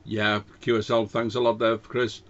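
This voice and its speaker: Flat-toned man on lo-fi ham radio. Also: lowish voice, moderate pace. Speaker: amateur radio operator. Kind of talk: casual ham radio contact. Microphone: cheap dynamic microphone over radio. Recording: dull lo-fi radio link audio.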